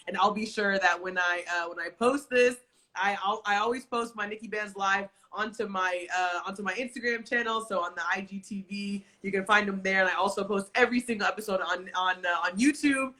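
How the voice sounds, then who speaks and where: A woman's voice: medium pitch, sharp tone. A woman hosting an online interview show, gushing and upbeat, with lots of "like" in her talk. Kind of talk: enthusiastic casual interview hosting.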